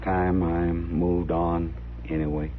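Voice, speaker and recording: An actor playing a humble, melancholy drifter in an Old West radio drama, with halting, folksy rural speech. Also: male voice, low voice, flat delivery. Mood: humble, wistful. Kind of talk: 1950s radio western acting. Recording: very muffled, noisy old radio recording.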